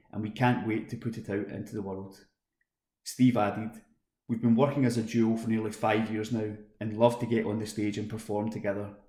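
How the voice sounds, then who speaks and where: Low, even-toned man in roomy echo. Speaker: volunteer newspaper reader. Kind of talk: read-aloud local news for a talking newspaper. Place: at home, indoors. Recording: roomy, echoing home recording.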